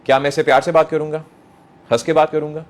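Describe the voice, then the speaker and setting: A man's voice: fairly high pitch, little pitch movement, quick pace. A middle-aged man with a Pakistani accent lecturing young people, emphatic, repeating phrases, asking rhetorical questions and mixing in English phrases. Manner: mixes English phrases into speech. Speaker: motivational speaker addressing young people. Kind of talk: motivational lecture to an audience.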